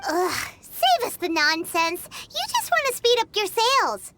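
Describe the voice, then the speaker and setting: A woman voicing a tiny, childlike, cheeky fairy companion in a video game, with a squeaky voice. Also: very animated, measured pace, background noise, full character voice. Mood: skeptical. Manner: refers to herself by name. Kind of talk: English video game voice acting. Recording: music behind the voice.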